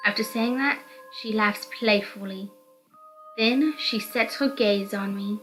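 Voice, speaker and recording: A woman's voice: medium pitch, takes it slowly, fairly bright tone, soft background music, poor audio. A woman reading scripted first-person narration from a visual novel aloud.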